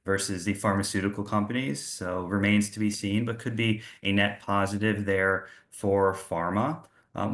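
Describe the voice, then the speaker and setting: A man's voice: lowish voice, fairly bright tone. A man working as a financial analyst, presenting a market outlook on a call. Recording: roomy, echoey room sound.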